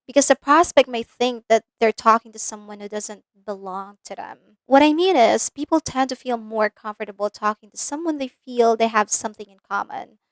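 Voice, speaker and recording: A woman's voice: a little high, normal speed, few pauses, no room sound. Filipino-accented woman teaching with a dry, close sound. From Philippines. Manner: direct, asks the viewer checking questions. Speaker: business coach. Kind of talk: scripted instructional video.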